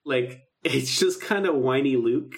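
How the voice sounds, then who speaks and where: A man's voice: mid-range voice, a little slow, creaky. A man co-hosting a podcast in casual, enthusiastic chat, often slipping into acted-out quotes. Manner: enthusiastic, acts out quoted dialogue. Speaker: podcast co-host.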